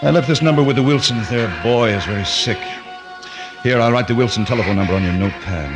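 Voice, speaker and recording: An actor playing a gentle, protective country doctor in a radio drama, speaking in an earnest, coaxing manner. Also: male voice, mid-range voice, quick pace, music in the background, close to an everyday voice. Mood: kind, apologetic.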